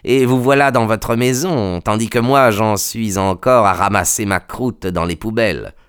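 A man's voice: on the low side, moderate pace, a bit bright, smooth, dry recording, close to an everyday voice. A sly old seaman, voiced by a male narrator, servile on the surface with menace underneath. The voice is cleanly recorded and dry, in an audiobook style, and insinuates more than it says. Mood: sly, bitter. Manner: obsequious, faintly menacing.

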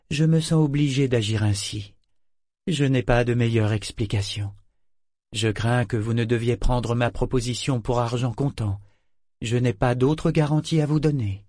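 A man voicing a calm, sincere, tranquil woman in an audiobook. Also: medium pitch, no room sound, clean studio sound, natural voice. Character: calm, quietly sincere woman offering help. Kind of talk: audiobook character dialogue.

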